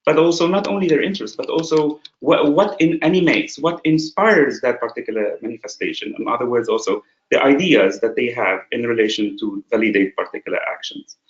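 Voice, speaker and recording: A man, an associate professor, giving a webinar presentation in a halting way, with frequent filler sounds and rhetorical questions. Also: mid-range voice. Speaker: associate professor and program coordinator. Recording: roomy, dull lo-fi webinar audio.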